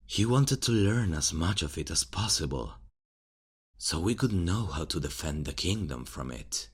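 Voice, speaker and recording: A man's voice: deep voice, expressive, unhurried, breathy edge, heavily acted. A man playing a worried king seeking counsel in a fantasy audio roleplay, speaking earnestly and formally. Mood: troubled, earnest.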